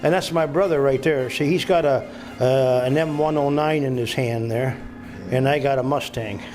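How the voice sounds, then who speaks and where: A man's voice: medium pitch, moderate pace, a little mellow, soft background music. A man in his seventies from Belgium, chatting casually in a folksy, agreeable way while filming a visit. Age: 70s. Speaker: home videographer and visitor chatting with a veteran. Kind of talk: casual chat.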